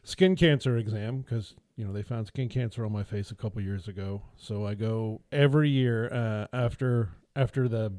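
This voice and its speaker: A man's voice: on the low side, normal speed, hardly any pauses, mellow, no room sound. A man co-hosting a casual podcast from a small studio, telling rambling, self-deprecating stories. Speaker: podcast co-host. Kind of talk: casual storytelling chat.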